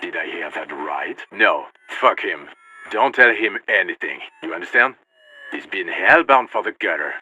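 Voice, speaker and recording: Irate cop, harsh muffled radio sound. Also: male voice, sits low, expressive, a little slow, bright, forceful tone, breathy edge, full character voice. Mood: contemptuous. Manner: curt, scornful, foul-mouthed. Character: irate, fed-up male policeman on police radio. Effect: police radio filter. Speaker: video game voice actor. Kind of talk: dramatic video game acting. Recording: muffled, noisy radio transmission.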